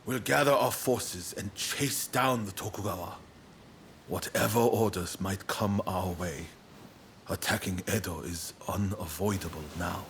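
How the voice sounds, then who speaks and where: A man acting a composed, pragmatic military leader in a game cutscene, matter-of-fact and controlled, over background rumble. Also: measured pace, vocal fry, fairly bright tone, full character voice. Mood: firm, pragmatic.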